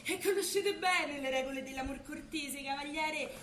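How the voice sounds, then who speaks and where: High-voiced, seductive mock-medieval lady. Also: normal speed, fairly bright tone, slight background noise. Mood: grandly lustful. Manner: grandiose, florid, teasing, emphatic. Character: seductive, bossy lady Madonna in mock-medieval courtly farce. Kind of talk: theatrical stage comedy, mock-archaic speech. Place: live theatre stage. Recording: echoey stage recording with music.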